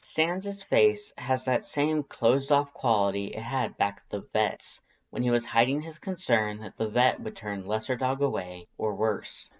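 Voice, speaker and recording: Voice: mid-range voice, unhurried, few pauses. A narrator reading scripted second-person fan fiction as an audiobook. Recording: slightly dull, band-limited audio.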